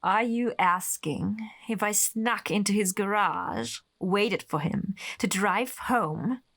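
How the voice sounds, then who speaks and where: A woman playing a possessive, jealous lover in a horror radio drama, with a condescending, deliberate, theatrical delivery. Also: medium pitch, creaky, a bit bright, exaggerated voice. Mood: cold, condescending menace.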